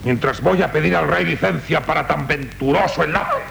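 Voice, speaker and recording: Gravelly, imperious count. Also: male voice, fairly high pitch, moderate pace. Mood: imperious, angry. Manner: authoritarian, curt, commanding. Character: imperious middle-aged count, domineering father. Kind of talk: theatrical 1970s Spanish radio drama acting. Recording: noisy 1970s radio recording.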